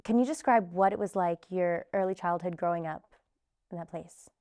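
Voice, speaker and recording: A woman's voice: fairly low pitch, normal speed, dark tone, clean tone, dry recording, studio quality. A woman interviewing a guest in a conversational way, encouraging him and often answering with short agreements.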